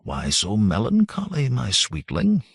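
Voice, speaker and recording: A man voicing a self-assured, flattering nobleman courting in flowery words in a fantasy audiobook. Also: medium pitch, measured pace, creaky, husky, no echo, clean studio sound, full character voice. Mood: wooing.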